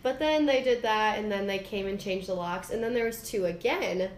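A woman's voice: mid-range voice, moderate pace. A woman telling a rambling story full of "like" fillers. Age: 20s. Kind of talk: casual chat. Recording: echoey room, faint ambient noise.

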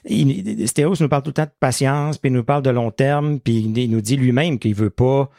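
A man's voice: mid-range voice, fairly quick, vocal fry, warm tone, no echo, studio quality. A man with a Quebec French accent, talking casually as a sports podcast co-host.

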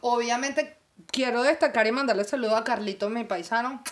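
A Venezuelan woman speaks in a fairly high voice. She talks informally, in the easy manner of casual conversation. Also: a little fast, slight background noise. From Barquisimeto, Venezuela. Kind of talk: casual chat.

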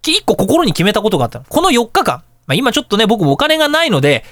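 A man hosting a radio show, telling anecdotes in a casual, chatty, animated way. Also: sits high, very fast, dry recording, clean studio sound. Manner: animated, chatty storytelling with colloquial asides.

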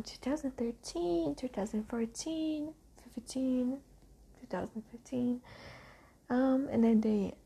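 Soft-spoken teen girl with a Brazilian accent. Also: a little high, creaky, husky. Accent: Brazilian Portuguese. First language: Portuguese. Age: teens. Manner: hesitant, many ums, often whispery and soft. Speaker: diarist. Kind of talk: casual spoken diary.

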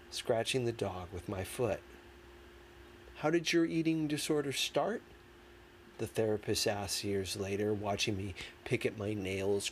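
A man reading a memoir aloud as scripted narration, with dramatic, deliberate phrasing. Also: medium pitch. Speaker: audiobook reader. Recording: clearly noisy background.

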